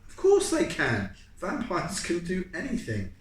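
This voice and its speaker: An adult reader putting on an eager storybook schoolchild, excitable and insistent, pitching ideas with lively swings. The sound is an echoey room with some noise behind. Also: measured pace, distant mic. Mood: excited, insistent.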